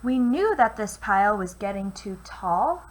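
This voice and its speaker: A woman voicing small, excitable paint scribble creatures from a storybook, plaintive and exclaiming. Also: mid-range voice, unhurried. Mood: alarmed, plaintive. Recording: noisy home recording.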